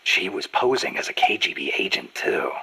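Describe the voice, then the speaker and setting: A man playing a battle-worn soldier boss with a husky rasp, speaking tersely and measuredly in a game audio drama. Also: sits low, sing-song, normal speed, bright, forceful tone, heavily acted. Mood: pensive, questioning.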